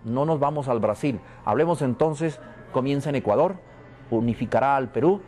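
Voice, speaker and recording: A man's voice: mid-range voice, lively intonation, normal speed, darkish tone. A man from Venezuela, interviewed about prophecy, speaking in a solemn, repetitive, preaching cadence. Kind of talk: spiritual and prophetic talk.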